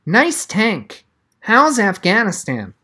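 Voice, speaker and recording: Narrator putting on a nerdy eager school boy, earnest and curious, voice nudged up high. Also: huge pitch swings, takes it slowly, pushed and bright, exaggerated voice. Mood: pleased. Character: eager, geeky, timid middle-school genius boy.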